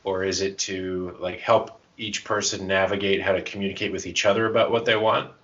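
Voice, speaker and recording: A man with a very low voice chats casually as a podcast co-host. He is irreverent and pokes fun at himself. The audio is a little dull and lo-fi. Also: little pitch movement, fairly quick, a bit bright. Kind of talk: casual chat.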